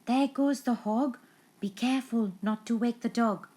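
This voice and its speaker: A woman's voice: a little high, normal speed, mellow, voice pushed up, close to an everyday voice. Woman playing a sneaky, scheming cattle thief, raised voice. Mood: sneaky, excited. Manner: conspiratorial, emphatic step-by-step plotting. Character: two sneaky scheming male cattle thieves.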